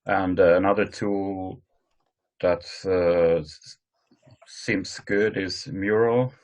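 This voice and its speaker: A man presenting informally in an online work meeting, speaking hesitantly with frequent pauses. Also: low voice, fairly even intonation, darkish tone. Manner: hesitant, halting delivery with many pauses. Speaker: meeting host presenting tools. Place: online conference call.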